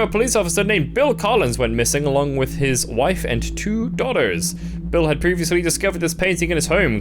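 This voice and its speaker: Animated, higher-pitched man with deadpan sarcasm. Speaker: streamer reacting to videos. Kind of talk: live reaction, reading on-screen text aloud with asides. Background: music.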